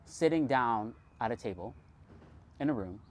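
A man's voice: mid-range voice, animated, moderate pace, a little mellow. A man in his twenties, a guest artist and filmmaker, talking in a loose, chatty way with many filler words. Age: 20s. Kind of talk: casual conversation.

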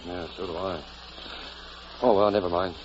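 A man's voice: low-pitched, steady tone, moderate pace, lightly acted. An actor playing an eager, impulsive young American newspaperman and devoted husband in 1940s radio drama, boyish and stammering when flustered. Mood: playful, fond. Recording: muffled old radio broadcast with faint noise.

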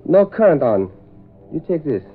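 An actor playing a curt, evasive Chinese caretaker in a radio drama, with a Chinese accent and clipped broken English. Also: male voice, a little high, very animated, moderate pace. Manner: clipped pidgin English, terse. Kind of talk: old-time radio drama acting. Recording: tinny, phone-like old radio recording, music.

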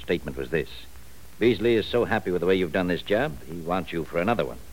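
A man playing a manipulative professor in an old radio drama, smooth and patronizing. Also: low voice, lively intonation, fast, poor audio. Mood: cool. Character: scheming older professor, cool and manipulative. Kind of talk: 1940s radio acting. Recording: faint hiss of an old radio recording.

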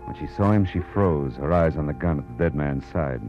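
A man's voice: very deep voice, animated, brisk. A man acting a tough, wry private detective in a 1940s American radio drama, clipped and dryly sarcastic. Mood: brooding narration. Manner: clipped hardboiled delivery, dry sarcasm. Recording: muffled vintage radio recording with music.

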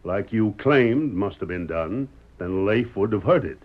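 A man's voice: on the low side, lively intonation, measured pace. A stubborn middle-aged frontier man, sly and folksy. He needles in a Western American drawl, on a dull vintage radio recording. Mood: wry, defiant. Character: stubborn, wry Old West father, sheriff candidate. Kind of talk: old-time radio western acting.

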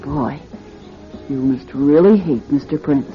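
An actress playing a naive, anxious young fortune teller, timid and hesitant, in an old radio drama. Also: female voice, rumbling low, takes it slowly, soft background music. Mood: uneasy. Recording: muffled, faintly noisy old radio recording.

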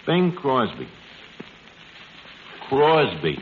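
A man's voice: mid-range voice, huge pitch swings, drawn-out words, exaggerated voice. An actor playing a cocky, working-class New bar manager who mangles his words with hesitant, slangy talk in a 1940s radio comedy. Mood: breezy, wry. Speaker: lead comic actor in a radio sitcom. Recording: muffled, noisy old radio broadcast, audience.

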